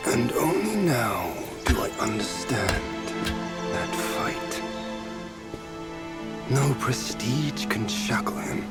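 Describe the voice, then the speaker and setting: A man voicing a solemn, measured warrior figure in a game cutscene. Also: mid-range voice, very animated, loud music behind the voice. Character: solemn male warrior elder, at peace. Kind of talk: video game cutscene voice acting. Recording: game cutscene with loud score.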